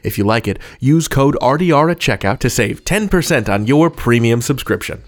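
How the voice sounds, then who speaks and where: A man hosting a podcast, reading a scripted introduction in a literary, rhetorical manner with deliberate phrasing. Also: mid-range voice, lively intonation. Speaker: podcast host.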